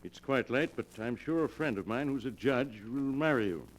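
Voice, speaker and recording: A man's voice: medium pitch, lively intonation, normal speed, lightly acted, rough recording. A man playing a composed, authoritative doctor with a mid-range voice. He probes and persuades earnestly, in classic 1940s radio drama fashion. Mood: urgent, persuasive. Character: calm, probing, authoritative doctor advising a troubled patient.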